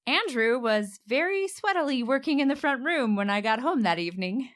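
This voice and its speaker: A woman plays a headstrong single woman fixing up her house in a radio romantic comedy. Her voice is high and swings in lively fashion. She banters and narrates with wry, playful timing. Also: moderate pace, a little hiss or hum. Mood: wry narrating.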